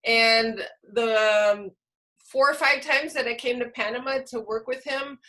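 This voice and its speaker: Woman, fairly high voice, teacher trainer, explanatory, online workshop presentation, question-posing delivery. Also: steady tone, measured pace, bright, forceful tone. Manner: explanatory, poses questions to listeners. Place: online video call.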